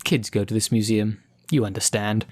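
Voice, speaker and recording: A man playing a mockingly polite, theatrical supervillain in an audio roleplay, talking with deliberate, taunting menace. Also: fairly low pitch, flat delivery, no room sound, clean studio sound, pitched below the performer's own voice, natural voice. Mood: condescending. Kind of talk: audio roleplay addressed to the listener.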